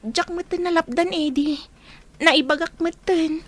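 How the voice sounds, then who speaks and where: Woman acting high-voiced, earnest young student girl. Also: a little slow. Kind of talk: amateur audio drama acting.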